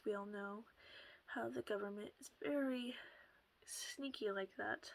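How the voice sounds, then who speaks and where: A woman with a soft voice that often slips into a half whisper, talking casually to her viewers through a headset at home, rambling a little and laughing softly. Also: on the high side, low-quality recording. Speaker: channel host. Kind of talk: casual solo talk to camera.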